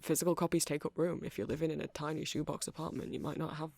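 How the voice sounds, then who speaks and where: A man's voice: a little high, little pitch movement, quick pace, vocal fry, a little mellow, dry recording. A man playing an awkward, rambling vampire music shop owner who stammers and hesitates in a casual ASMR roleplay. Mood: friendly, earnest. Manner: hesitant, stammering, apologetic, nervously chuckling.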